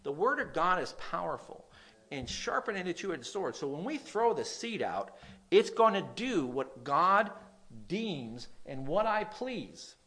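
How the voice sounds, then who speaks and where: A man's voice: high-pitched, sing-song, measured pace, poor audio. Nasal-voiced man preaching a sermon. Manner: reads scripture aloud, swings between loud emphasis and quiet; occasional stutter on words. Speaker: pastor.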